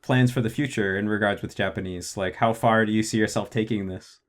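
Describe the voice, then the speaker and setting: A man hosting a casual interview, talking in a relaxed, thoughtful way with some pauses and restarts. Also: on the low side. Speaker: host, interviewer.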